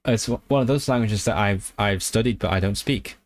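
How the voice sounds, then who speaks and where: A man talks to his viewers in a loose, rambling livestream style. His voice sits a little low. He corrects himself often and fills pauses as he goes. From Hong Kong. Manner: rambling, with fillers and self-corrections. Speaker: language YouTuber on a livestream. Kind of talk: casual livestream chat answering viewers.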